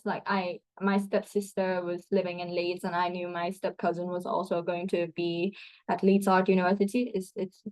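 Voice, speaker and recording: A woman's voice: medium pitch, a little mellow, poor audio. A young woman from Thailand, a student guest answering interview questions in a chatty way, with many fillers and restarts. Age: young adult.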